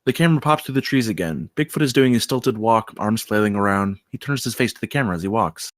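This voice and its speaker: A man's voice: lowish voice, animated, fast, dry recording, clean studio sound. A man reading out stage directions as the narrator of a screenplay table read.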